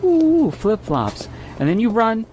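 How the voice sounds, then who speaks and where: A woman's voice: a little high, big pitch swings, slow, dark tone, slight background noise. A woman playing a dim, childlike robber in an improvised roleplay game, speaking in short, simple phrases. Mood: dopey, dreamy. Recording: music behind the voice.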